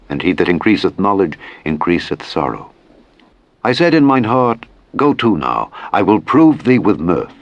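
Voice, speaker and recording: A man reading scripture as a solemn audiobook, in a measured, formal, declamatory manner. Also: on the low side, no echo. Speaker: scripture reader. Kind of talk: solemn Bible audiobook narration. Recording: dull, muffled, lo-fi audio.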